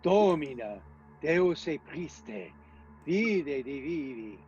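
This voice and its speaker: An actor playing a commanding older professor and vampire hunter in a radio drama, speaking in solemn, oratorical, stilted foreign-sounding phrases. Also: male voice, a little high, very animated, slow pace, a little mellow. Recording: dull lo-fi audio, music behind.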